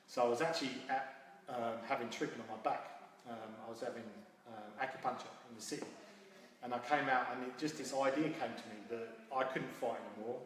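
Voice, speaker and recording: A man, telling his story informally as a coach being interviewed. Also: mid-range voice. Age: 40s. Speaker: guest, MMA coach and founder. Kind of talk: casual interview answers. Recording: very echoey hall, distant mic, faint noise.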